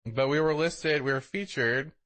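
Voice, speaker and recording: A man's voice: on the high side, normal speed, fairly bright tone. A man co-hosting a podcast, telling stories in a casual, sarcastic way and swearing freely. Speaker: podcast co-host. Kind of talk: casual chat, anecdotes.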